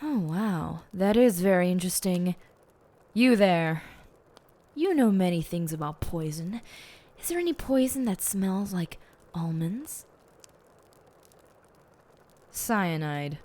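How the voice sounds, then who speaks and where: A woman playing a smug, theatrical, teasingly bossy lead detective in an audio roleplay, with pompous and deliberate phrasing. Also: fairly low pitch, lively intonation, darkish tone. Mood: deliberate, musing.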